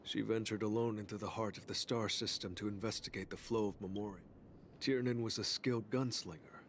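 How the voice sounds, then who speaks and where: A man's voice: fairly low pitch, fairly even intonation, a little fast, slight background noise, lightly acted. A man voicing a solemn figure recounting the history of fallen heroes in a video game. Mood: solemn, respectful.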